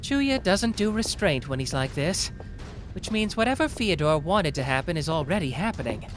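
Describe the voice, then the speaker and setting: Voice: medium pitch, big pitch swings, normal speed, noisy background, lightly acted. A performer playing a cocky, playful young male genius detective in an anime roleplay, taunting and analytical in a theatrical way. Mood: musing, calculating. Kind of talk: anime roleplay audio drama, deduction monologue.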